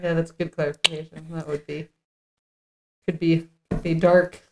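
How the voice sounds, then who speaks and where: A woman's voice: deep voice, level tone, normal speed, background noise. Woman, podcast co-host, chatty and giggly, casual scripted read with banter.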